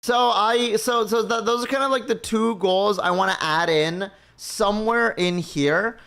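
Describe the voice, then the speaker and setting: Higher-voiced man rambling on stream. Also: a little slow, fairly bright tone, clean tone. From Mexico. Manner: rambling, thinking aloud with many restarts. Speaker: livestream host. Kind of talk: casual chat with viewers.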